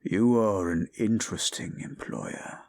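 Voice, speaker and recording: A hulking male goliath bodyguard, as a man acts him out for a fantasy roleplay audio. He speaks in a deep, breathy murmur and takes his time over every phrase. He sounds tender and devoted, as if close to the one he guards. Music plays along. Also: measured pace, a little mellow, slight background noise, lightly acted. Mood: admiring, amused. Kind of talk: intimate romantic roleplay audio.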